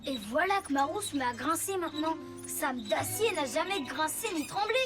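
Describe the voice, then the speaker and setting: A woman playing a plucky, eager young boy rescue motorbike in a French children's cartoon, with an energetic, exclamatory delivery. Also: sits high, moderate pace. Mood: worried. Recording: loud music behind the voice.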